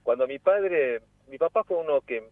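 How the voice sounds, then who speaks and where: A man's voice: medium pitch, animated, normal speed, dry recording. A man around sixty who grew up in Peru, an actor speaking as a guest in a radio interview, reflective and repeating words as he searches for them. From Peru, raised in Cusco. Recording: tinny phone line, dry.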